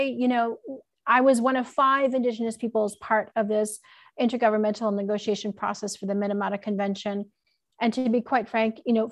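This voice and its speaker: A woman speaks in a somewhat high voice with very little room sound. She gives an academic talk over a video call, keeping it conversational, informal and frank. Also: no echo. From Alberta, Canada. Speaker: keynote speaker, law professor. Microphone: computer mic on a video call.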